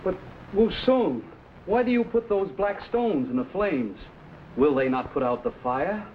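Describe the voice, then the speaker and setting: Earnest, wonderstruck explorer, fairly high, very expressive delivery, formal manners, dull old-serial sound. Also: male voice, a little slow. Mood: amazed. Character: courteous, wonderstruck young Venetian explorer. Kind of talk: 1950s television adventure serial acting. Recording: muffled old broadcast with faint noise.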